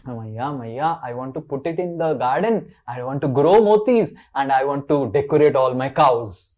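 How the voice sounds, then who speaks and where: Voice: medium pitch, animated, moderate pace, darkish tone, highs cut off, close to an everyday voice. A storyteller with an Indian accent voicing a playful little cowherd boy who pleads and insists, repeating himself. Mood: pleading.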